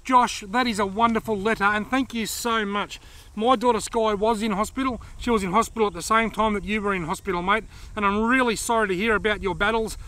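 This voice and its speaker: An Australian man in his forties, chatting casually to camera outdoors as a vlog host, friendly and quick to laugh. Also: sits high, fairly even intonation, pushed and bright, low-quality recording. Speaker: fishing channel host. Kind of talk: casual vlog reading viewer mail. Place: outdoors in dry open woodland. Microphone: camera close up at arm's length.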